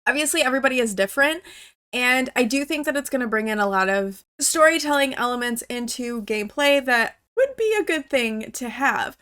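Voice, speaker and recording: A woman's voice: sits high, lively intonation, brisk, a bit bright, smooth. A woman YouTuber, still congested from a cold, chatting casually as she reacts to a game trailer. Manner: chatty, with many "like" fillers.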